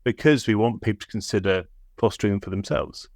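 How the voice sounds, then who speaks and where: A man's voice: fairly low pitch, brisk, dry recording, clean studio sound. A man hosting a podcast, asking questions in a chatty way and hedging with fillers. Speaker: podcast host, foster carer. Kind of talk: conversational interview.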